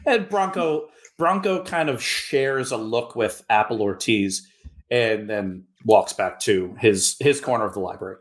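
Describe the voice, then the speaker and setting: A man running a live-play tabletop game as game master, narrating at an easy pace with pauses and fillers. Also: lowish voice. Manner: relaxed storyteller with drawn-out pauses and fillers.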